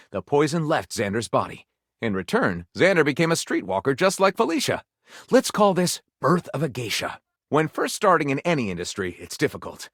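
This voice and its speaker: An actor playing a theatrical, wry male storyteller in a game, narrating tales dramatically and savouring each title with pauses. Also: medium pitch, fairly bright tone, no room sound, clean studio sound. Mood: dramatic, wry.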